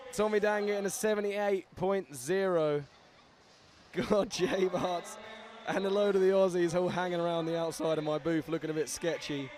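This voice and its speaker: Excitable young male event commentator. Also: fairly high pitch. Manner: excitable, laughing, shouting out to viewers. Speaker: live commentator and host.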